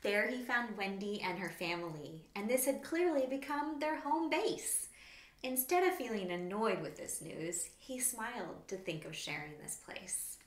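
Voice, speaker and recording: A woman's voice: medium pitch, measured pace. Woman reading a storybook, roomy echo. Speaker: children's author reading her book. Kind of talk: expressive children's storybook read-aloud. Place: small plain room indoors. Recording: roomy, echoing indoor room.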